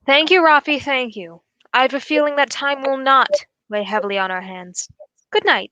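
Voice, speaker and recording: A woman playing a shrewd, unflappable English gentleman detective in a radio drama, speaking in a measured, politely formal way. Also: sits high, no echo, highs cut off. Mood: amused, firm. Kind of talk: 1940s radio drama acting.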